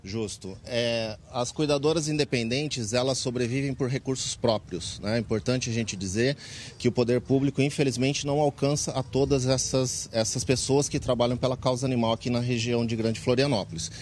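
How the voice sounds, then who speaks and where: A man's voice: medium pitch, fairly even intonation, brisk, darkish tone, slight background noise. A man in his forties with a Brazilian accent, answering a live TV interview outdoors into a reporter's handheld mic. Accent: Brazilian Portuguese. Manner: earnest, explanatory, many fillers. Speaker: interviewee, club president. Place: outdoors in a park under a tent.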